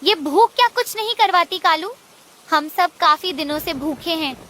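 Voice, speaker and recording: A woman voicing a hungry female forest bird, earnest and imploring, in an animated children's story. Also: very high voice, moderate pace, heavily acted. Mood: pleading.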